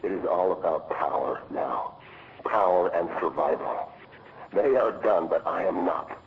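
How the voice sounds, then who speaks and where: A man's voice: low voice, measured pace, vocal fry, narrow, tinny audio. A man playing a cynical, power-hungry rogue agent in a video game, persuasive and coolly self-assured, with noise behind him. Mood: cold, menacing. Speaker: rogue agent and faction leader. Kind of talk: cinematic video game acting.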